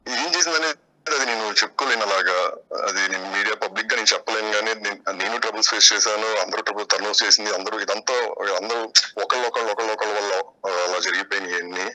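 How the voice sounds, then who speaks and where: Male interviewee, very sharp bright tone, slightly low pitch, guarded and halting with repetitions. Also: low-quality recording. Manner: defensive, evasive, halting repetitions. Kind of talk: spontaneous interview answers.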